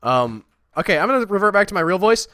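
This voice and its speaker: Rambling man with a squeaky, bright voice. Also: sing-song, fast, no room sound, clean studio sound. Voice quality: high-pitched, squeaky voice. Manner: rambling, self-deprecating, reading out chat. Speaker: streamer building in a game. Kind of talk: casual livestream chat.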